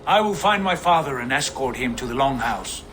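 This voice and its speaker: A man's voice: mid-range voice, expressive, moderate pace, background noise, lightly acted. A man acting a commanding, slightly weary Viking nobleman in a video game, understated and dry. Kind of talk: cinematic video game dialogue.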